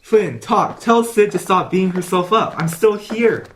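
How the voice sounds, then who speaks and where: Performer as young ghost boy, audio drama, slow delivery, very animated pitch, earnest, pleading. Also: a little hiss or hum, close to an everyday voice. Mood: frustrated, pleading.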